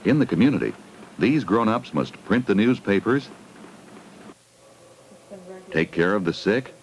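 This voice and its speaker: A man's voice: on the low side, lively intonation, measured pace, slight background noise, low-quality recording. A man reading a scripted educational film narration for children, putting simple questions to them, on a 1980 film soundtrack.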